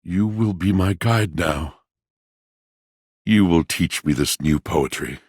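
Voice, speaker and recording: An actor playing a lovelorn, poetic washing machine in a video game, with florid, dramatic phrasing and a husky voice. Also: male voice, low voice, darkish tone, no echo, studio quality, full character voice. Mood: tender, romantic. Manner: florid, grandiloquent, dramatic phrasing with weighty pauses. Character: lovelorn, poetic, theatrical male washing machine come to life. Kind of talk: theatrical video game character acting.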